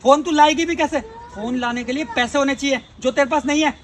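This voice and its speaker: A man acts out a bullying male company employee in an amateur melodrama. His voice is very high and strained upward, full of taunts and threats. A faint outdoor noise sits behind it. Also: steady tone, quick pace, a bit bright, recorded from a distance. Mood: contemptuous. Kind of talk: amateur Hindi melodrama skit acting.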